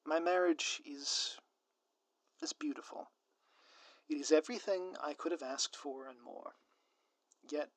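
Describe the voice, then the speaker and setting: A close, very dry recording of a man playing a theatrical male supervillain in an audio roleplay. His voice sits a little high for a man. He talks straight to the listener in florid, formal phrases, as a schemer who is secretly a doting, lovestruck spouse. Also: a bit bright, no room sound. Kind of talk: scripted ASMR roleplay, direct address to listener.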